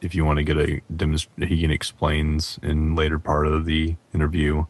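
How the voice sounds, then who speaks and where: A man with a very deep voice hosts a casual gaming podcast. His delivery stays quite flat, and the sound is dry and close. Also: moderate pace, dry recording. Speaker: podcast host.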